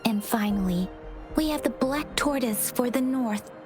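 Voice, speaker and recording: Soft studious game girl, high pitched, polite and measured, music playing behind. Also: expressive. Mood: informative. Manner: measured, soft-spoken, explanatory. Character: gentle, studious, polite young woman scholar. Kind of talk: English video game voice acting.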